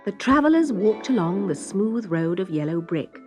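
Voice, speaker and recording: A woman reading a children's story as narrator, in an expressive, dramatic storytelling manner. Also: medium pitch, moderate pace, music in the background.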